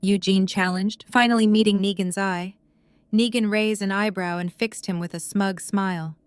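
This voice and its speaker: A woman's voice: mid-range voice, steady tone, moderate pace, clean tone, dry recording. A woman narrator reading scripted dramatic prose for an audio drama.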